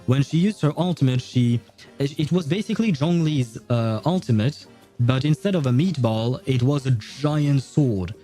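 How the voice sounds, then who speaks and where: Male game streamer, casual and rambling, thinks aloud, soft dark timbre over faint background music. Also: mid-range voice, normal speed. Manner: rambling, thinking aloud, chuckles at own jokes. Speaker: livestream host. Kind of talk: casual gaming livestream chat.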